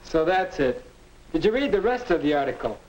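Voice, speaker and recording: Preachy, confident American schoolboy, high-pitched for a male, dull fifties educational film soundtrack. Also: sing-song, brisk, a little mellow, a little hiss or hum. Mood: earnest, probing. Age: young adult. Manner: preachy, earnest. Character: earnest high school boy reporter, confident, advice-giving friend. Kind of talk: 1950 instructional film acting.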